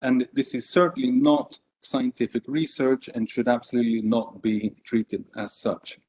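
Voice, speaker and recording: A man born in Belgium giving a business presentation at a conference, measured and hesitant, with frequent fillers. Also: mid-range voice, fairly even intonation, a little mellow. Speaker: conference speaker.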